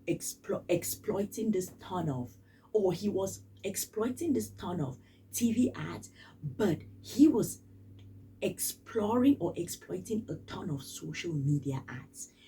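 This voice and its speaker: A woman's voice: medium pitch, expressive, measured pace, dark tone, distant mic, a little hiss or hum, poor audio. A woman from Cameroon, giving casual spoken commentary in an emphatic way and repeating phrases for stress. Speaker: vlogger.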